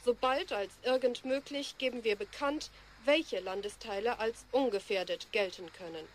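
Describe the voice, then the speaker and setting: A woman's voice: fairly high pitch, normal speed, slight background noise, lightly acted, poor audio. An actress playing a sober woman announcer reading a grave, formal emergency bulletin in a radio drama. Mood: grave, composed. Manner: composed, official.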